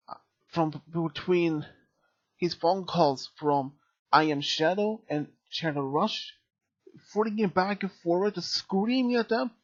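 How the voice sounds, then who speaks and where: Slow, halting reviewer with lively pitch swings. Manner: halting, searching for words. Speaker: video reviewer. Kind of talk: unscripted review commentary. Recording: dull, lo-fi mic sound.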